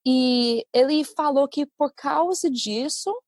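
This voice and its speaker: An American woman, a native English speaker and English teacher, chatting as a podcast guest in a lively storytelling manner. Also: sits high, slow, no room sound, studio quality. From United States. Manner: chatty, animated storytelling with laughs. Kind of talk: casual podcast conversation.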